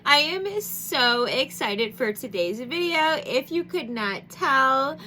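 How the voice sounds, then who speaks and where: A woman's voice: high voice, animated, slow pace, bright, forceful tone, rough recording. A woman in her thirties chatting as a beauty vlogger, bubbly and rambling, with a congested, nasal voice. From Michigan. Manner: bubbly, gushing, rambling with many fillers. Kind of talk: chatty makeup vlog intro.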